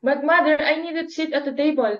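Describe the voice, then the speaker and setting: Muffled, high-voiced woman acting a flustered daughter. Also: a little fast, close to an everyday voice. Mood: protesting. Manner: apologetic, protesting. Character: flustered, reluctant young daughter of a society hostess. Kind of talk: dramatic reading of a stage play.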